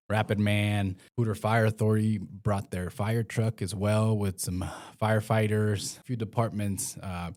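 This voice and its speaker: A young man from Mexico with a fairly low voice, speaking in a relaxed interview. He talks haltingly, full of "uh"s and restarts. His pitch sits lower than most men's. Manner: hesitant, many fillers and restarts. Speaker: community health worker and former pro soccer player. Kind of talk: casual interview conversation.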